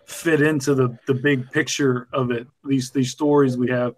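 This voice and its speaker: A man's voice: mid-range voice, fairly even intonation, normal speed, no room sound. A man in casual group conversation, talking informally with hesitant, searching phrasing.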